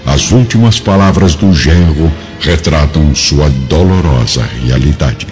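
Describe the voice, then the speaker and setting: A man narrating a radio drama in a solemn, literary, measured and dramatic style. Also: very deep voice. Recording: dull radio drama audio with music.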